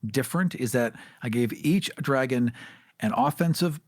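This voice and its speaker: A man's voice: mid-range voice, moderate pace, no echo, studio quality. A man hosting a casual podcast chat about tabletop games, talking in an earnest, emphatic way.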